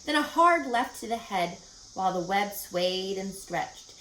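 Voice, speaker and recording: A woman voicing a kindly, bossy, quick-witted female spider in a children's storybook, articulate and telling tales with relish. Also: medium pitch, expressive, fairly bright tone, echoey room, a little hiss or hum, poor audio. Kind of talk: children's storybook read-aloud.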